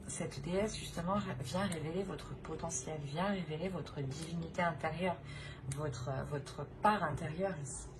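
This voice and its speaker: A woman giving an informal spiritual tarot reading, halting and repeating herself as she searches for words. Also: deep voice. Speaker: tarot reader hosting a channel. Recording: clearly noisy recording with ambient sound.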